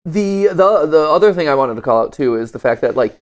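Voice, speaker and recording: A man's voice: on the high side, expressive, brisk. A man hosting a show in a casual, conversational way, talking through theories and reactions. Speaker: host.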